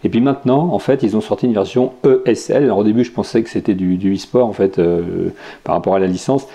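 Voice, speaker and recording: Rambling French man, dark low voice. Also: quick pace, creaky. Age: adult with children. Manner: rambling, many fillers and restarts. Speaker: podcast host. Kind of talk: casual tech and games review.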